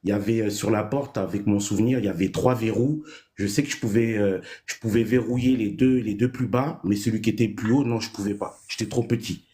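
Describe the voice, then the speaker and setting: A man raised in France telling his childhood story as a guest, halting with many restarts. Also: fairly low pitch, fairly even intonation, mellow, slight background noise. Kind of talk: personal testimony.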